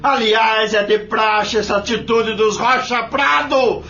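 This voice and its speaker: A man's voice: high voice, fairly even intonation, takes it slowly, sharp tone, full character voice. A man playing a proud, wronged farm labourer in an audio soap opera, emotional and defiant, with rustic colloquial speech. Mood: furious. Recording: dull, lo-fi audio.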